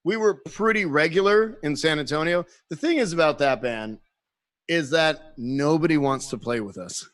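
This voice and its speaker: Male guest for a home podcast, a touch higher-pitched, very dry close sound, lively storyteller who swears freely. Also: moderate pace, no echo. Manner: animated storyteller, acts out quotes, swears freely. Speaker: guest musician. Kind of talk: casual chat.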